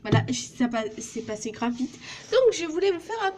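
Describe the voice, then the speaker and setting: A woman's voice: high-pitched, sing-song, normal speed, very noisy. A teenage girl vlogging casually from a small office, joking and swearing as she walks through her work placement. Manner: crude, joking, swears a lot. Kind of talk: casual vlog.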